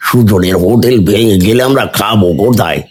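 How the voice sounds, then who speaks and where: A man voicing a greedy, scheming middle-aged village hotel keeper in a Bengali cartoon, loudly exclaiming and wailing. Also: fairly low pitch, fairly quick, lightly acted. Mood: worried. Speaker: hotel owner.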